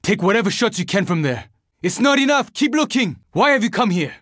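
A man's voice: sits high, sing-song, brisk, fairly bright tone, dry recording, studio quality, heavily acted. A man voicing a young survivor in a zombie video game, terse, earnest and urgent. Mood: focused, pressed. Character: young Japanese male survivor fighting a zombie horde, urgent, determined. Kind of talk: video game voice lines, dramatic combat barks.

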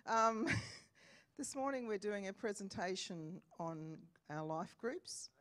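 An older woman with a croaky voice, leading home groups, chatting to a church congregation from the stage on a handheld mic. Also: mid-range voice, lively intonation, slow. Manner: chatty, warm greeting to congregation. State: hoarse, croaky. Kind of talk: church announcement.

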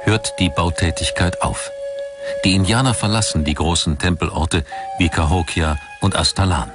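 A man reading scripted documentary narration in a measured, dramatic storytelling manner. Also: sits low. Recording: music under the voice. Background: background music.

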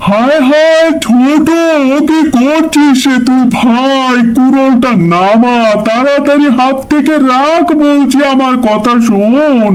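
A man's voice: very high-pitched, slow pace, hardly any pauses, smooth, poor audio. A man playing a greedy, scheming elder brother in a cartoon dub, with a sugary, persuasive, theatrical manner. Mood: alarmed, pleading.

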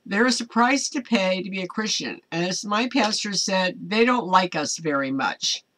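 An older American woman giving an informal devotional talk to camera in a halting, earnest manner, recorded in a small home office. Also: medium pitch, expressive, fairly bright tone. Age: older, 60s or 70s. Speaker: online ministry host.

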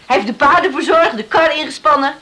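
A woman's voice: sits high, quick pace, sharp tone. A woman playing a caring farm mother of grown sons in a radio play, motherly and fussing. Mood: cheerful, caring. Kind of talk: Dutch radio play acting.

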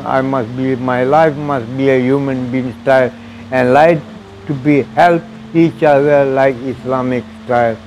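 An older man in his sixties speaks in Malaysian-accented English, earnest and plain, circling back over his words. His voice sits at an ordinary middle pitch and is caught close on a lapel mic. A faint hum of street traffic lingers behind him in the open walkway. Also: darkish tone, rough recording. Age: 60s. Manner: broken English, earnest and repetitive. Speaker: interviewee. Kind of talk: personal testimony. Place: arched outdoor walkway of a mosque.

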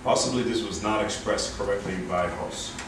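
A man's voice: fairly low pitch, normal speed, natural voice. A man playing a defensive, evasive Nazi work-detail leader under interrogation in a staged reading. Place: meeting room, staged reading at a table. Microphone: far camera microphone. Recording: very echoey room, far microphone, hiss.

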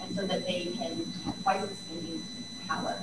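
A woman on a community panel, answering a question thoughtfully with fillers and pauses. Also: lowish voice, flat delivery. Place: large community hall. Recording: echoey hall, distant with hum.

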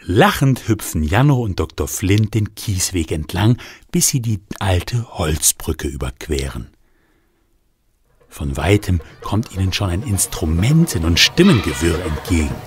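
A man narrating a children's radio play in an expressive storybook manner. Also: low-pitched, a little slow, faint music behind.

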